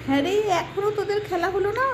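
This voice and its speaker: A woman's voice: very high-pitched, fast, pitched above the performer's own voice. A woman voicing a fussing, complaining village mother in a homemade doll-play story. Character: scolding, hard-working village housewife and mother, bustling and nagging. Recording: noisy homemade recording.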